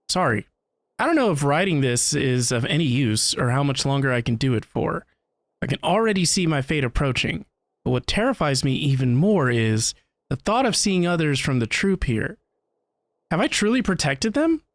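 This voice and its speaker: A man streaming a game, reading its text aloud in a deliberate, expressive, dramatic way. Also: fairly high pitch, no room sound, studio quality.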